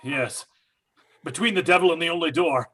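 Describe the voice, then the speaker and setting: A man playing a wounded, wry, self-sacrificing father, husky and gasping, in old time radio horror style. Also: on the high side, normal speed, bright, forceful tone. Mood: grim urgency. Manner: gasping, strained, dramatic.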